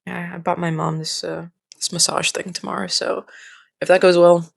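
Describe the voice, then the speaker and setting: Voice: mid-range voice, little pitch movement, normal speed, creaky, no echo, clean studio sound. A young adult vlogger with a slightly nasal voice, rambling candidly and informally and often repeating and restarting phrases. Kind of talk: casual rambling personal vlog.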